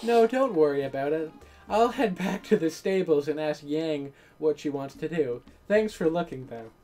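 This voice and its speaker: A woman's voice: sits low, expressive, moderate pace, dark tone, faint music behind. A woman voicing a spirited, playful younger sister who protests dramatically, in a visual novel read-along. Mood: easygoing.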